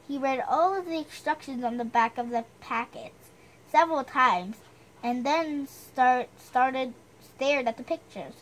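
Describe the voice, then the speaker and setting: A woman's voice: high voice, unhurried, rough recording. A woman reading a storybook aloud with chatty, self-deprecating asides, stumbling over the odd word.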